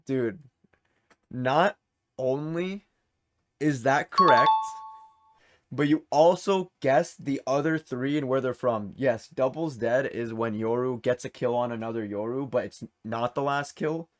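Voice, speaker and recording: A man's voice: mid-range voice, a little slow, a little hiss or hum. A man hosting a gaming quiz in a casual, chatty, encouraging way. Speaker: quiz show host.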